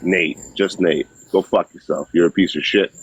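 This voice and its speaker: A man's voice: low voice, fairly even intonation, moderate pace, muffled recording, slight background noise. A man on a live stream, ranting angrily with lots of swearing. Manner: profane, heated ranting.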